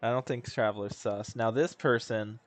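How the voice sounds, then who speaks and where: A man's voice: lowish voice, fairly even intonation, normal speed. A young man streaming a game, making sarcastic jokes in meme slang. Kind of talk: casual live commentary over a game.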